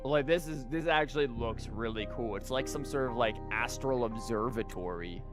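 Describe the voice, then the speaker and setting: A man's voice: medium pitch, moderate pace. A man giving casual, rambling and wry commentary as he livestreams a video game. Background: game music.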